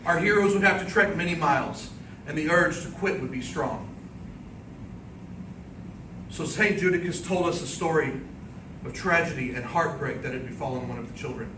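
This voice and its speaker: Male contest orator, slightly high-pitched, theatrical epic storytelling with deliberate declamation; recorded far from the mic amid clear noise. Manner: dramatic, declamatory, deliberate phrasing. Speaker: speech contest speaker. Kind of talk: theatrical storytelling speech, in the manner of an epic tale. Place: indoor room before an audience. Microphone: distant microphone. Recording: roomy, distant microphone, clearly noisy background.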